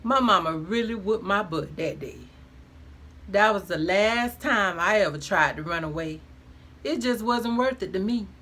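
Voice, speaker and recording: A woman's voice: mid-range voice, lively intonation, unhurried, bright, forceful tone. A woman reading her own first-person memoir aloud in a frank, conversational way with emphatic colloquial phrasing.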